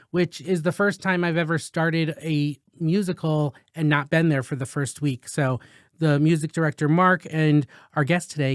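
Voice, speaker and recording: Young male host, chatty and rambling, smooth tone, close dry mic. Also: on the high side, no echo. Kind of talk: casual podcast chat.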